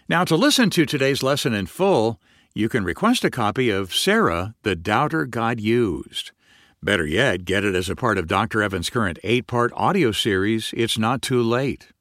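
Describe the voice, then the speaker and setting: A man's voice: medium pitch, a bit bright, no room sound, studio quality. A man reading a scripted radio announcement as the programme's announcer.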